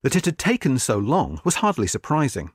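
A man narrating a scripted history article in formal, measured phrasing. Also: mid-range voice, huge pitch swings, husky, dry recording, studio quality.

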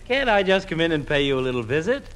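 A man's voice: on the high side, big pitch swings, fast. A man playing a kindly, joking family doctor who coaxes a child in an old radio comedy. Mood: coaxing. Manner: coaxing, playful, mock-grave. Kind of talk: 1940s radio comedy acting. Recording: noisy old radio recording.

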